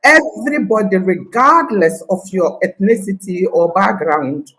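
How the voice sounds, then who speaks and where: An older woman from Ghana with a Ghanaian Ashanti accent touched by Scottish, telling of her years as a teacher in a confident, deliberate way. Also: mid-range voice, animated, a bit bright, low-quality recording. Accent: Ghanaian, Ashanti (Akan) with some Scottish. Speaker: guest, retired teacher. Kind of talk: interview conversation.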